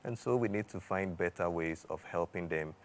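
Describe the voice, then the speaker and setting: A man, a doctor guest speaking in a measured, reflective way. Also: low voice, a little hiss or hum. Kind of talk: expert commentary on a talk show.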